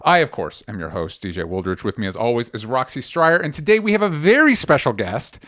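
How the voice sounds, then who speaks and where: A man hosting a podcast in a casual, animated, informal way, chatting and making show announcements. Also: mid-range voice, a little fast, dry recording, studio quality. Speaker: podcast host.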